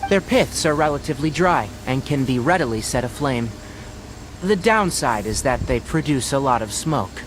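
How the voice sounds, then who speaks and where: A man voicing a bright young forest ranger in an anime game, articulate and instructive, with a patient, wry manner. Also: mid-range voice, animated, normal speed, natural voice. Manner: articulate, instructive, gently teasing. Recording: noisy game livestream capture.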